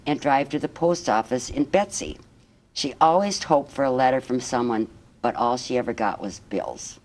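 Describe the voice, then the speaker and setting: A woman reading a children's picture book aloud as a guest storyteller, in measured, expressive phrases. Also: rumbling low.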